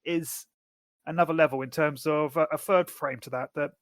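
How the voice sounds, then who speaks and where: A middle-aged man co-hosting a podcast, talking quickly and reflectively in casual chat. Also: fairly high pitch, steady tone, no echo. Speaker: podcast co-host.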